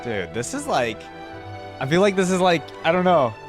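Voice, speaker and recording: A man's voice: on the high side, big pitch swings, normal speed. A man giving casual, enthusiastic reaction commentary in an informal, slangy way, as a video host. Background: music from the videos being watched.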